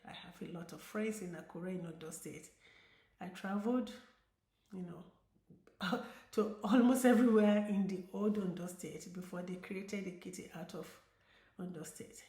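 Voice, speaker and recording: A woman's voice: on the low side, measured pace, warm tone. A middle-aged woman with a Nigerian accent, a diabetes educator talking to her viewers in an earnest, encouraging way and repeating key words for emphasis. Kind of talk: casual vlog talk to viewers. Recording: roomy echo.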